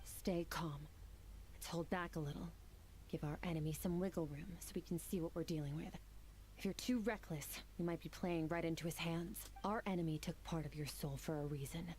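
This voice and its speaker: A woman voicing a composed, aloof young female warrior in a video game, speaking in a calm, dry, commanding way. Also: sits low, slight background noise, natural voice.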